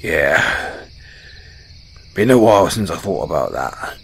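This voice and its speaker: Casting: male actor as kindly battle-scarred dwarf smith, low-voiced and unhurried, humble fantasy roleplay storyteller, crickets faintly behind. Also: big pitch swings, creaky, a little hiss or hum, full character voice. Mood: wry reminiscing. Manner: folksy, chuckling, hesitant storyteller. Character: kind, modest, battle-scarred dwarven blacksmith, hearty adventurer. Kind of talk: fantasy audio roleplay, spoken to a companion.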